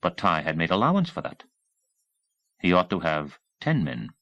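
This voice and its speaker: Deep voiced guy reading a war thriller audiobook, intense n deliberate, really dry close sound. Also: very animated, fairly bright tone, no echo, lo-fi sound. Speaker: audiobook narrator. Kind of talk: dramatic scripted narration of an action novel.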